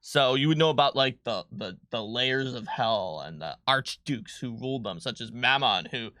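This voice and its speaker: A man's voice: mid-range voice, animated, normal speed, sharp tone, no echo, studio quality. A man co-hosting a live stream as a game designer, casually explaining tabletop rules with a pedantic eye for detail.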